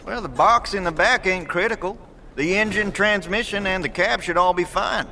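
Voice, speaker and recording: An actor playing a gruff, hot-tempered American truck owner in an audio drama, talking in a folksy, indignant way. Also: male voice, high-pitched, normal speed, pushed and bright. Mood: grudging resolve. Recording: clear background noise, vehicle ambience.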